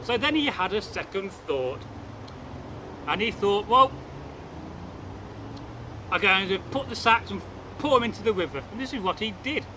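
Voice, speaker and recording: A man telling a folk tale as a storyteller, in a deliberate, repetitive way with old-fashioned phrasing. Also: sits high, expressive, sharp tone, slight background noise, poor audio. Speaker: channel host and storyteller.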